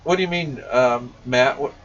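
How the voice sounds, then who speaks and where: A man's voice: medium pitch, normal speed, fairly bright tone. A man chatting casually on a live stream as an informal, enthusiastic radio shop technician. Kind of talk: casual live stream chat, answering questions. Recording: muffled, distant mic with faint noise.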